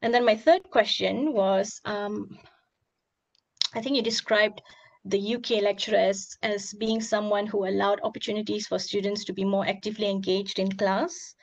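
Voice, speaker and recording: A woman with a hoarse, gravelly voice asks long, carefully built questions at an online seminar. She pauses often as she thinks through each point. The sound comes over a video call. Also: mid-range voice, fairly even intonation. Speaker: audience member asking questions.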